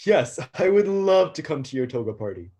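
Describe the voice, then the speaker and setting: A man playing a stressed, awkward, lovestruck young god in a comic staged reading, halting, flustered and touchy. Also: on the high side, sing-song, warm tone, slightly rough, highs cut off.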